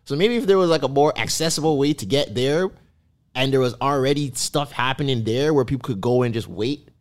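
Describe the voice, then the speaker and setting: A young man co-hosting a podcast, chatting casually and telling stories in slang. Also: brisk. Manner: animated storyteller, slangy, swings pitch widely. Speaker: podcast co-host. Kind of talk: casual chat.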